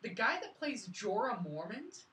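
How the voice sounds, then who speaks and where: A woman's voice: on the high side, big pitch swings, unhurried, bright, forceful tone, slight background noise. A woman hosting a casual film review chat, talking emphatically and repeating points to drive them home. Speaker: podcast host reviewing films. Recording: echoey room, distant from mic.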